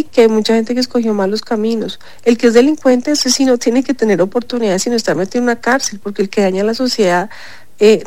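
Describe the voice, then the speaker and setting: A woman's voice: on the high side, a little mellow, no echo. Emphatic congested woman. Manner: emphatic, insistent, repeats points. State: congested, stuffy. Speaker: city councillor. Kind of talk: radio interview.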